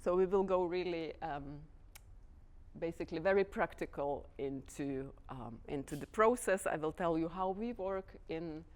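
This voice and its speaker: A woman from Lithuania with a Lithuanian accent, giving an informal lecture to dance students, hesitant, with many pauses and restarts. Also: fairly low pitch. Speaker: guest lecturer, artistic director.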